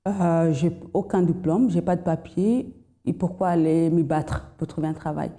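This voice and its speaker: A woman's voice: deep voice, steady tone, normal speed, warm tone. Hesitant woman with a slight foreign accent. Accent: slight foreign accent in French. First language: not French. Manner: hesitant, many fillers and restarts. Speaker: testimony guest. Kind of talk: personal testimony.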